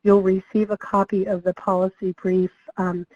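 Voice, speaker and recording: A slightly hoarse woman, a project director, welcomes people to a training webinar and gives an overview, friendly and with frequent ums. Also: mid-range voice, little pitch movement, moderate pace, mellow, no echo, muffled recording. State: slightly hoarse, losing her voice.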